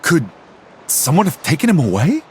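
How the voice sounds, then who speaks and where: An actor playing a flustered young knight who stammers out a rushed patrol report in a video game. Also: male voice, on the high side, huge pitch swings, darkish tone, a little hiss or hum. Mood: worried.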